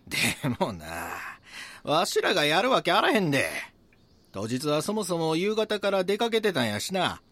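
An actor with a Kansai accent playing a brazen, scheming small-time swindler in a voice drama, talking in a rough, conspiratorial, boastful way. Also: male voice, medium pitch, expressive, a little slow, no echo. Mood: defensive. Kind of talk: voice drama acting, true-crime reenactment.

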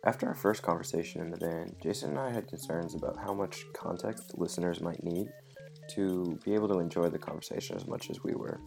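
A man's voice: deep voice, a little fast, vocal fry, darkish tone, soft background music. A man narrating as a podcast host in a scripted style.

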